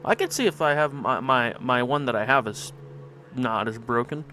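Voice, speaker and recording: A man's voice: mid-range voice, normal speed, bright, forceful tone, soft background music. A man live-streaming a game with casual, rambling commentary, reacting playfully and repeating phrases. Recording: clear background noise on home stream.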